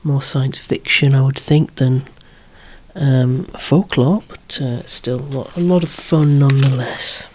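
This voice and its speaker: A person whispering an ASMR show-and-tell of a collection, musing along in a hushed voice with gently wry asides. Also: medium pitch, fairly even intonation, a little slow. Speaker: presenter of a toy collection.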